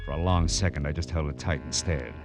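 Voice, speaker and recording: A man's voice: extremely low pitch, brisk. An American man playing a tough, world-weary private detective in an old radio noir drama, clipped and slangy, narrating and talking bluntly. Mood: brooding narration. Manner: clipped, hard-boiled, slangy. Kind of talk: 1940s-50s radio noir acting and first-person narration. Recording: vintage radio recording with music behind.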